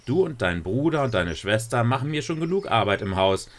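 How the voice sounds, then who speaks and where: A man's voice: medium pitch, sing-song, a little fast, voice pushed up, natural voice. A man voicing a strict, overworked mother in a children's story, firm and scolding. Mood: stern. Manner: firm, scolding, matter-of-fact. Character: stern busy mother, weary and strict.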